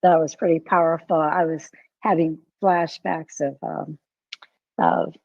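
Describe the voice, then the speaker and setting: A woman's voice: deep voice, fairly even intonation, unhurried, vocal fry, no room sound, dull audio. A woman hosting a panel discussion, reacting with impressed remarks. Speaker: host.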